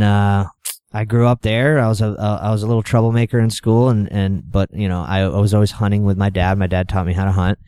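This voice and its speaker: A man's voice: lowish voice, a little mellow, smooth, no room sound. A man from New Hampshire, a podcast guest, rambling through his stories in an easygoing way with humorous asides. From New Hampshire, USA. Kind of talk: casual chat.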